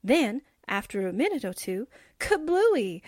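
A woman's voice: a little high, very animated, measured pace, no room sound, studio quality. A woman playing a breezy, flirty 1940s newspaperwoman sidekick in a radio drama, with slangy, playful wisecracks. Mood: gleeful. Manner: folksy, slangy, playful exclamations.

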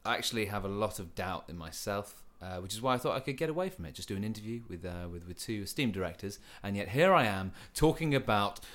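A man hosting a comedic mock interview in a deadpan, hesitant way. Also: fairly low pitch, fast talker, few pauses.

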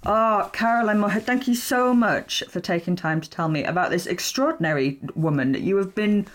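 A woman with a Yorkshire accent hosting a podcast, asking questions and signing off with enthusiasm and warmth. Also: medium pitch, moderate pace. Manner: enthusiastic, friendly. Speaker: podcast host. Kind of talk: podcast interview and outro.

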